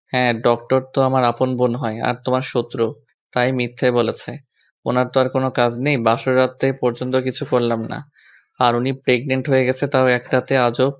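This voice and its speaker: A man playing an exasperated young husband, even-toned. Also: mid-range voice, normal speed, natural voice. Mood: sarcastic. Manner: scolding, sarcastic. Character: exasperated young husband, bossy yet fond. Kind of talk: romantic audio story acting.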